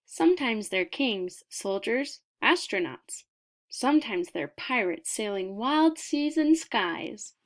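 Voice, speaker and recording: A woman reading a children's picture book aloud expressively, deliberate in her delivery, with dramatic pauses and emphasis. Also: on the high side, lively intonation.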